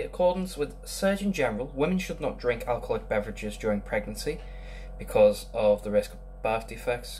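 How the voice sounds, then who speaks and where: A man's voice: mid-range voice, a little mellow, a little hiss or hum. A young man casually reviewing a beer at home, rambling with lots of ums. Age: young adult. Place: small room indoors.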